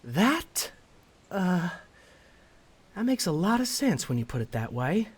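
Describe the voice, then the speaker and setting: A woman playing a nervy, emphatic, sarcastic schemer in an animated comic dub, with a halting delivery. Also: lowish voice, husky, exaggerated voice. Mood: sarcastic, insistent. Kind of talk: animated comic fandub acting.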